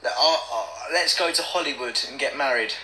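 A man's voice: medium pitch, fairly quick, pushed and bright, slight background noise, poor audio. A young man chatting casually on a livestream, reading out comments in a playful, silly manner. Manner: playful, silly, breaks into bits of song. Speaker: livestream host.

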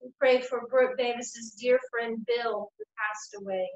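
A woman leading a congregation through liturgical prayers in a measured, steady reading voice, standing at a lectern in a small room at home and streamed over a webcam. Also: fairly high pitch. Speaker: church worship leader. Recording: echoey room, dull lo-fi sound.

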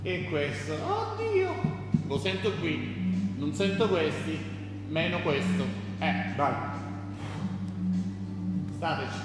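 A man's voice: on the high side, very animated, slow, long pauses. A middle-aged man, instructing a Pilates class in short spaced-out cues while he exercises. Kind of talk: exercise class instruction, cueing breaths and movements. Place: large gym studio indoors, with loud music. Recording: echoey gym hall, loud music.

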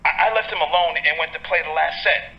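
Casting: phone-filtered bar musician played by a man, high-pitched, sarcastic, stammering, slightly dull lo-fi sound, audio drama. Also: quick pace, creaky. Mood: confused, defensive. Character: laid-back, sarcastic male bar musician, muddled and defensive. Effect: telephone filter.

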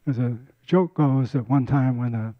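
A man's voice: mid-range voice, normal speed, no echo, narrow, tinny audio. An older man giving a casual community lecture on a handheld mic, often repeating and restarting words. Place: lecture room.

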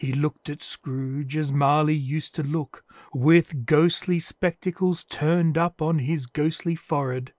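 A man narrating a classic ghost story in a measured, storytelling manner. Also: on the high side, fairly even intonation, darkish tone, no echo, studio quality. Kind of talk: scripted dramatic narration.

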